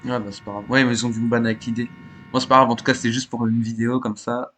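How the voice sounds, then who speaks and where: A man's voice: medium pitch, flat delivery, racing, fairly bright tone, a little hiss or hum. A man from chatting casually in slangy, sweary as the host of a gaming channel. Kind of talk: casual voice-chat banter about gaming.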